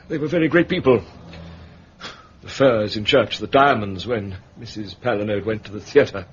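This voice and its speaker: An actor playing a middle-aged English bank manager in a period radio drama, fussy, discreet and hesitantly formal. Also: male voice, mid-range voice, close to an everyday voice. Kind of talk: British period detective radio drama acting. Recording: muffled radio recording, clear background noise.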